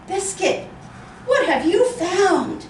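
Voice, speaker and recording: Woman reader as a cheerful little girl, sing-song and lively, in a roomy space off-mic. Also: extremely high pitch, slow pace, a little hiss or hum, exaggerated voice. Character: cheerful little girl talking to her puppy. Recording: roomy echo, distant mic.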